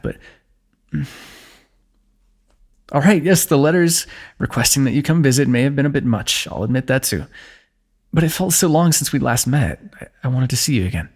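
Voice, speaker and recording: A young man with a gravelly, husky voice speaks softly and intimately. His pitch moves a lot as he talks. His delivery is tender and earnest, with sighs and small laughs. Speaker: ASMR roleplay narrator. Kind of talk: fantasy romance ASMR roleplay.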